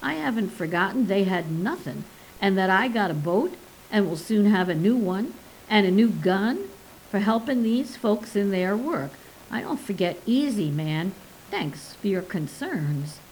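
A woman doing a soft-spoken Cajun guide. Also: mid-range voice, expressive, unhurried, slight background noise, low-quality recording. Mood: placating. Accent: Cajun English. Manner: soft, placating. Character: local male swamp guide, easygoing and humoring.

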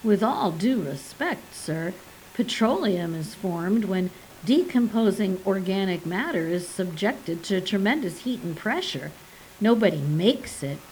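A woman's voice: medium pitch, expressive, a little slow, darkish tone, a little hiss or hum, natural voice, poor audio. A woman voicing a skeptical male geologist who corrects others in a condescending, matter-of-fact way. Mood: dismissive.

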